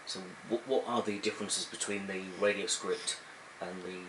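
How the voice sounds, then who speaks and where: A man's voice: low voice, fairly even intonation, normal speed. A man interviewing someone, asking questions in a conversational way. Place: at the interviewee's home, indoors. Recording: roomy, noisy home interview recording.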